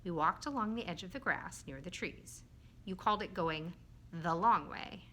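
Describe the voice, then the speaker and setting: A woman voicing a small, timid but excitable male creature in a children's story, earnest and childlike. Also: on the low side, a little hiss or hum. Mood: excited, earnest. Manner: childlike, earnest, dramatic, halting when scared.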